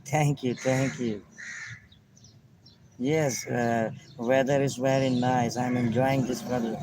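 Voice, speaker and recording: Slow-talking Pakistani man, halting English. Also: mid-range voice, fairly even intonation, noisy background. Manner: simple halting English, repeats phrases, warm greetings. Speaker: vlogger chatting with strangers. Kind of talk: casual friendly chat.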